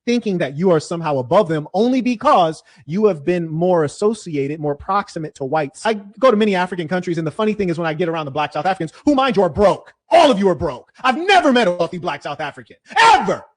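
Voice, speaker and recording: A man with a high-pitched voice argues in a heated debate. He lectures hard and combatively, sinking low and then bursting into loud shouts. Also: animated, fast talker, few pauses.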